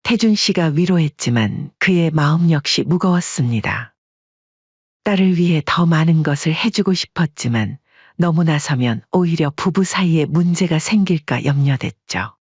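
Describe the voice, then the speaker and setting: Breathy, even-paced woman narrator. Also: sits low, moderate pace, no echo, lo-fi sound. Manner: even, storytelling delivery. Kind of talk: scripted story narration.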